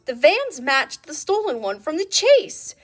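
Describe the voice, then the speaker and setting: A woman reading a children's storybook aloud in an expressive storytelling style, as narrator. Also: high voice, measured pace, faint music behind. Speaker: storybook reader.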